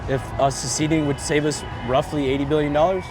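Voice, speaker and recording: A man's voice: a little high, steady tone, a little fast, over music. A man from California, interviewed outdoors at a rally, speaking earnestly in a plain way with frequent restarts. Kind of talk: street interview. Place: outdoors on a city street.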